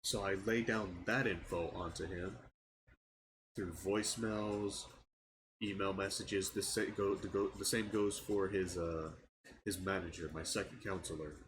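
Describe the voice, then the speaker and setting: A frustrated young man with a very low voice vents in a halting, casual way, often breaking off and restarting his sentences. His nose sounds stuffed up, and a clear hiss of background noise sits under his voice. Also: steady tone. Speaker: channel owner giving an update. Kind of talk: casual personal vlog rant.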